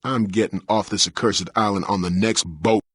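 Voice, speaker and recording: Furtive, husky-voiced townsman. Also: fairly low pitch, fairly even intonation, normal speed, fairly bright tone, no room sound, clean studio sound. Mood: furtive, nervous. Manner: urgent, conspiratorial, clipped colloquial speech. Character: secretive, cautious townsman confiding a tip. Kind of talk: fantasy game character acting.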